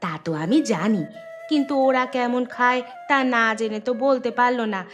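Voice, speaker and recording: A woman's voice: on the high side, lively intonation, moderate pace, music in the background, close to an everyday voice. A woman voicing a practical village housewife giving instructions in a cartoon. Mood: practical, instructing. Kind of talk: cartoon dialogue.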